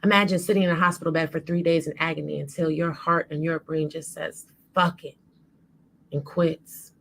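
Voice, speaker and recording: A woman delivering an impassioned spoken-word monologue with dramatic, emphatic and deliberate phrasing. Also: deep voice, steady tone, creaky, a bit bright.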